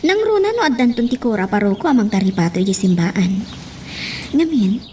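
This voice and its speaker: An actress with a Filipino accent playing a respectful, caring young daughter, earnest and coaxing, in a radio drama. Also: female voice, medium pitch, sing-song, a little fast, darkish tone, slight background noise, lightly acted. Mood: apologetic pleading.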